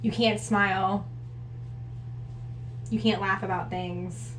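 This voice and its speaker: A woman's voice: medium pitch, fairly even intonation, slow pace, fairly bright tone. A woman in her twenties chatting casually to camera as a vlog co-host. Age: 20s. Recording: roomy echo, distant mic, noisy.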